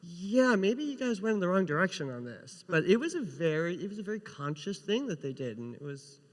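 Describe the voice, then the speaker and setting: Male panelist, high voice, loose anecdotal chat, reverberant hall sound. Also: big pitch swings, fairly quick. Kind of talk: casual reminiscing. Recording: echoey hall with long reverb.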